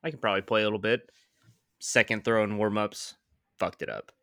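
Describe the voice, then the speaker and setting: A man's voice: on the low side, steady tone, fairly quick, a bit bright, no room sound. A man, co-hosting a casual sports podcast with wry, dry jokes and frequent laughs. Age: 20s. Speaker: podcast co-host.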